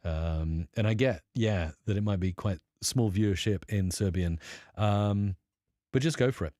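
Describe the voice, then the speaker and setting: A male live streamer talks in a low, warm voice, chatting loosely with his viewers. He rambles with lots of fillers but stays upbeat and encouraging. The sound is dry and close. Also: measured pace, dry recording. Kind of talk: casual live stream talk answering chat.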